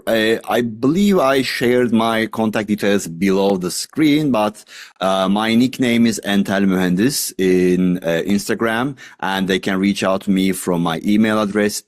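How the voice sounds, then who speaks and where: A man's voice: on the low side, a little slow, no echo. Upbeat Turkish-accented man, dry close sound. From Turkey. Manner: friendly, upbeat, a little jokey. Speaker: guest. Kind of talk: interview answers.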